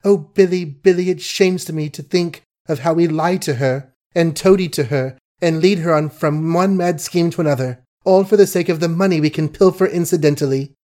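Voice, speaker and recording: A man's voice: medium pitch, darkish tone, close to an everyday voice. Man voicing an intense, worldly society woman. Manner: earnest, emphatic, dramatic. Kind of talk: audiobook character acting.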